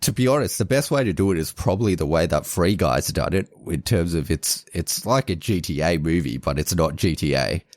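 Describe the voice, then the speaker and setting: A man co-hosting a podcast in casual chat, measured and thinking aloud as he gives his views. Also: low-pitched, expressive, darkish tone, dry recording, clean studio sound. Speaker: podcast co-host.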